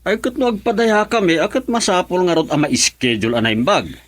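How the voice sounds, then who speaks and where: A man's voice: high voice, fairly quick, fairly bright tone. A man playing an easygoing middle-aged father in an Ilocano radio drama, with an Ilocano accent. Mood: pleased.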